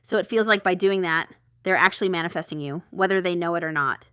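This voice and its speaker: Chatty lady doing tarot, bright crisp tone, really dry close sound, rambles off on asides. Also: lowish voice, fast, no echo. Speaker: tarot reader and channel host. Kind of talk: casual intuitive tarot reading, talking to the viewer.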